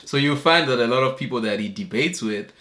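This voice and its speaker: A man hosting a reaction video, talking in a relaxed, chuckling, conversational way. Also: medium pitch, a little fast. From Zimbabwe. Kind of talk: casual commentary.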